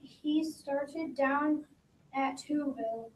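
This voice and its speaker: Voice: sits high, extremely slow. A young reader, sounding like a child, reading a rhyming holiday story aloud as part of a readers theater. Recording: echoey room, distant mic, faint noise.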